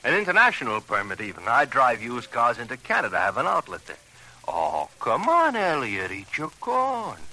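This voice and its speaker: A man's voice: mid-range voice, wildly swooping pitch, moderate pace, pushed and bright, exaggerated voice. An actor playing an effusive, evasive retired crook who dotes on his pigeons and repeats his words, in an old radio crime drama. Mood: proud, doting. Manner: effusive, repeats words, coos over birds. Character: jovial, slippery ex-con pigeon fancier, doting and evasive. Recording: noisy old radio recording.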